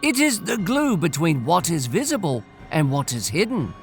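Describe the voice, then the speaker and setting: A man voicing a calm, measured, ancient bearded sage in a storybook tale. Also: on the high side, creaky, soft background music.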